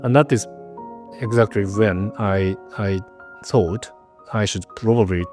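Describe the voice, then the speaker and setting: A man's voice: fairly low pitch, measured pace, music in the background. A middle-aged man from Japan with a Japanese accent, a researcher giving earnest, carefully prepared interview answers with frequent restarts, sounding a little nervous.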